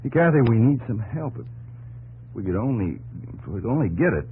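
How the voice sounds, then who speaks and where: An actor playing a weary, earnest young American frontier farmer, speaking in a plain, folksy, dramatic way in an old radio drama. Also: male voice, mid-range voice, very animated, a little fast, warm tone, breathy edge, heavily acted, low-quality recording. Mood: anguished, resigned. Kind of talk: 1950s radio drama acting and narration. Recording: old 1950s radio recording with music behind.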